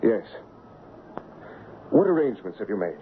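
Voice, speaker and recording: An actor playing a gallant, honorable, lovesick gentleman in a solemn, formal 1970s radio drama style. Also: fairly low pitch, big pitch swings, moderate pace, slight background noise. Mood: resigned. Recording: muffled old radio broadcast with music.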